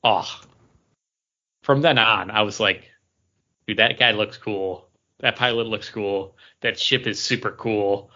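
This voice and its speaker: Bright-voiced, excitable man on lo-fi audio. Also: lowish voice, slow pace, pauses often. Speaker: podcast co-host. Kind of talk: casual, enthusiastic fan chat.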